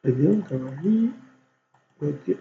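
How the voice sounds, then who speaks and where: A man with a cold teaching design software step by step, thinking aloud and filling gaps with constant voilàs. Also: a little high, animated, slow, vocal fry. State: has a cold. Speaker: software instructor. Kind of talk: screen-recorded software tutorial. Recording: dull lo-fi recording with faint hum.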